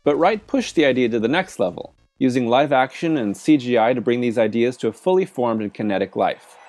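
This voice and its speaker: A man's voice: mid-range voice, lively intonation, fairly quick, a little mellow. A man delivering scripted film essay narration as a video essayist, with music playing behind him. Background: background music.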